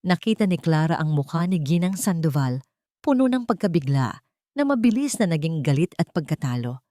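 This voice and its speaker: Low-pitched woman narrating a romance story. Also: very animated, a little fast, dark tone, no room sound, studio quality. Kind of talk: dramatic scripted romance narration.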